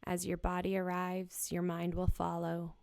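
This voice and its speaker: A woman guiding a yoga nidra body scan in a gentle, soothing, unhurried manner with short, spaced phrases. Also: fairly low pitch, completely flat delivery, gravelly, clean tone, no room sound. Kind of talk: guided meditation, slow body scan.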